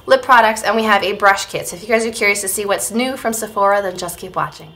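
A woman in her thirties, slightly hoarse, giving a casual beauty product review to camera at home. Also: medium pitch, quick pace, over music. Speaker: beauty vlogger. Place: small room at home, indoors.

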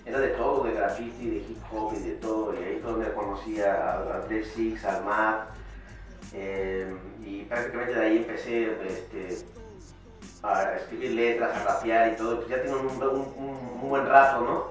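A man's voice: lowish voice, moderate pace, distant mic, faint music behind. Laid-back man, echoey studio. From born in Chicago, raised in Mexico City. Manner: relaxed, slangy, many fillers and restarts. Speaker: rapper guest. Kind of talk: casual interview chat. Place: small home recording studio. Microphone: far camera mic. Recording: echoey room, voice from afar.

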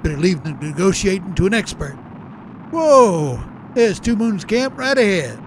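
A man's voice: high-pitched, very animated, a little hiss or hum. A man playing a folksy, breezy frontier agent in a radio serial, with colloquial phrasing. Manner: folksy, drawn-out colloquial talk. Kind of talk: old-time radio serial acting.

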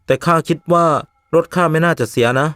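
A man voicing a respectful, sensible young village man in an animated ghost story, speaking politely and earnestly. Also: fairly high pitch, brisk, smooth, dry recording, clean studio sound, voice pushed up, close to an everyday voice. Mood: earnest, apologetic.

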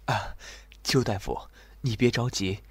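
A man's voice: medium pitch, a little slow, breathy edge, no echo. A man playing a weary, reserved young general in an ancient Chinese audio drama, speaking formally and courteously. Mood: courteous, composed.

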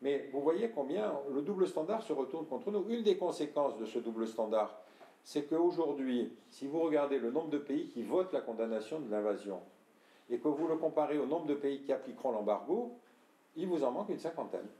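An older man from France giving a talk to an audience, explaining and addressing listeners directly, often clearing his throat. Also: medium pitch, animated, fairly quick, dark tone. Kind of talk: lecture with audience questions. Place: room with audience. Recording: echoey room.

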